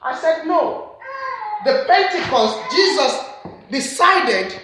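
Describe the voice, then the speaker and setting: Bright-voiced Nigerian woman preaching in echo. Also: sits high, lively intonation, slow, a little hiss or hum. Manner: earnest, repetitive emphatic phrasing. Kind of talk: sermon. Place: church. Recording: very echoey church hall.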